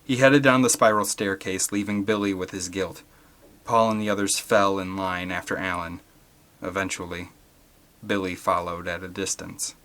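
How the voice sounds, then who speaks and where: A man's voice: on the low side, a bit bright. A man reading an adventure story aloud as scripted, dramatic audiobook narration. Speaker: audiobook reader.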